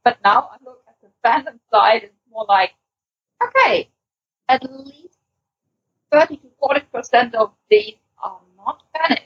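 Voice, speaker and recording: A woman with a fairly high, very breathy, husky voice chats on a podcast. She talks hesitantly, feeling for words. The recording sounds dull and lo-fi. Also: expressive, unhurried, pauses often. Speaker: podcast co-host. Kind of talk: casual chat.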